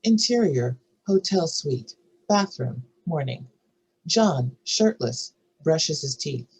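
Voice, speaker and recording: A woman's voice: low voice, expressive, slow, a little mellow, slightly rough, rough recording. A woman reading stage directions as scripted narration for a drama table read.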